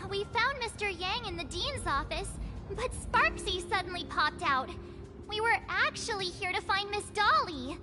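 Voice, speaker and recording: High-pitched scatterbrained schoolgirl. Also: expressive, moderate pace. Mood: puzzled. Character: bubbly, scatterbrained teenage schoolgirl adventurer. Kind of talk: video game voice acting. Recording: game music behind the voice.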